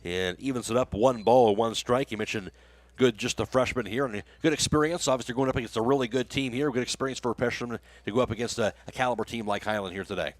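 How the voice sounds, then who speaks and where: An American man with a medium-pitched voice calls a baseball game live on the radio. His play-by-play comes through close and dry, with very little room sound. He delivers it as running sports commentary. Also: quick pace, no echo.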